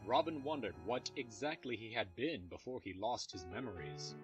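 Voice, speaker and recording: A man's voice: lowish voice, animated, moderate pace, muffled recording, music in the background. A man reading scripted third-person fantasy narration as the narrator of an audio drama.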